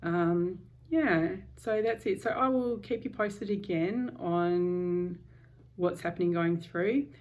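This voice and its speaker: Low-voiced woman giving a calm vlog update. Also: slow pace. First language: English. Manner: calm, candid, many ums and restarts. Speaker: vlogger. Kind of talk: casual personal vlog update. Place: home.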